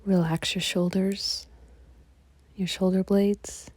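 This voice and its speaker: A woman guiding a relaxation meditation in a soft, breathy, half-whispered, soothing delivery. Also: mid-range voice, level tone, slow pace, no room sound. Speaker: yoga nidra meditation guide.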